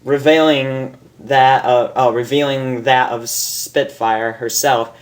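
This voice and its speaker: A man's voice: mid-range voice, steady tone, slow pace. A man narrating a story as an amateur host, informal, stumbling over lines and correcting himself. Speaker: fan fiction reader and host.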